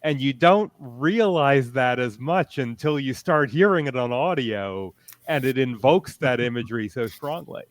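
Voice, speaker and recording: Man on a panel explaining stuff casually, crisp clean voice, no room echo, measured. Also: medium pitch, expressive. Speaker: convention panelist.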